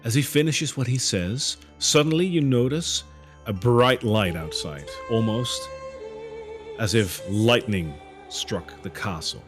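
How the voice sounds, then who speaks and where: A man narrating a tabletop game as game master, with descriptive narration and quick asides. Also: mid-range voice, lively intonation, music in the background.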